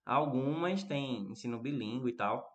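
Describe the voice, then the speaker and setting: A man's voice: medium pitch, lively intonation, moderate pace. A man from Recife with a northeastern Brazilian accent, chatting casually as he teaches a live online class and addresses his students. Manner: chatty, questioning, addressing viewers by name. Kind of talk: casual interactive lesson, reading and answering chat messages.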